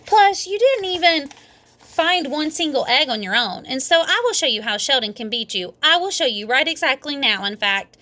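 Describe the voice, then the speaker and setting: A woman voicing an excitable, cheeky first-grade girl in a children's storybook, with emphatic childish phrasing. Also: sits high, normal speed, voice pushed up. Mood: defiant. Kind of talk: children's read-aloud storybook.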